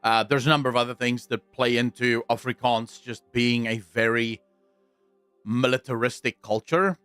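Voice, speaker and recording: A young man with a South African accent chatting on a livestream, answering viewers in a deliberate, blunt way, repeating his points and swearing now and then. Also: medium pitch, fairly even intonation, fairly bright tone, smooth, no room sound, faint music behind. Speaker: streamer.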